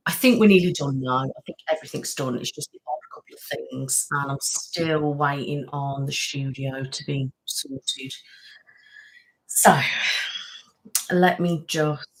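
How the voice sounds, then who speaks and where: A woman's voice: low voice, fairly even intonation, unhurried, poor audio. A young woman hosting a casual live-stream chat, greeting viewers by name and talking about how tired she is after a house move. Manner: chatty, thanks viewers by name, frequent "um" and "literally". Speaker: ASMR channel host.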